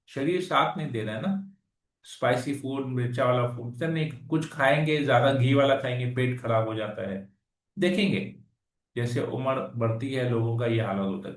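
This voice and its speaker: A man reading scripture verses aloud and then explaining them in plain conversational talk. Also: mid-range voice. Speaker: scripture class speaker. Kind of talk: Hindi scripture reading with commentary. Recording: echoey room, far from the mic.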